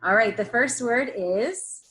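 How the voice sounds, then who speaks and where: A woman teacher leading a reading lesson for young children, instructing in an encouraging way with pauses for them to repeat. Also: on the high side, fairly bright tone. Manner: instructional, encouraging, pausing for repetition. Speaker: early-grades teacher. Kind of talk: slow read-aloud phonics lesson for young children.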